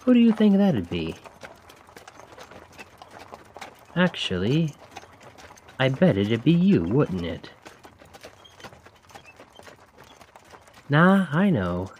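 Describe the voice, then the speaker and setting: A man's voice: mid-range voice, huge pitch swings, a little slow, a little mellow, muffled recording, slight background noise. A man playing a chatty, cheeky stand-in carriage driver in an audio roleplay, talking casually and awkwardly to the listener with sly insinuation. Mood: sly, insinuating. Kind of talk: ASMR roleplay acting, addressing the listener.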